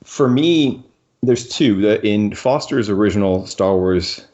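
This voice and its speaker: Male podcast host, low-pitched, conversational and halting, slightly muffled lo-fi audio. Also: lively intonation, a little mellow. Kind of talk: casual discussion of books.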